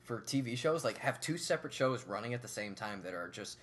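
Young podcast dude tossing out jokes, voice bouncing all over the place. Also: male voice, medium pitch, animated, a bit bright. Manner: jokey, quick quips. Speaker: podcast co-host. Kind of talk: casual chat.

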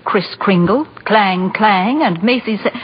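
A woman's voice: mid-range voice, unhurried, lightly acted. An actress playing a practical, worried businesswoman in 1940s radio drama style. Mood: concerned. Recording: dull, noisy old radio recording.

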